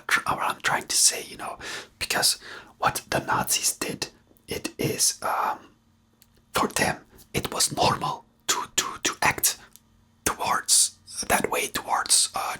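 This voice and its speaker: An ASMR host who speaks in a breathy whisper with a non-native English accent, rambling hesitantly and searching for words while giving commentary. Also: sharp tone. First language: not English.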